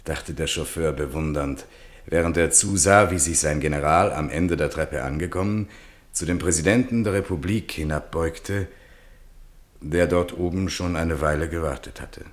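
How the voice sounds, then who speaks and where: A storyteller in a radio play, with an extremely deep voice. He narrates literary prose in a measured manner.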